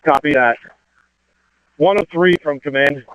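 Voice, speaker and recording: A man's voice: on the high side, a little slow, fairly bright tone, no room sound. A man giving terse, procedural orders as a fire incident commander over two-way radio. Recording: tinny, band-limited fire radio transmission.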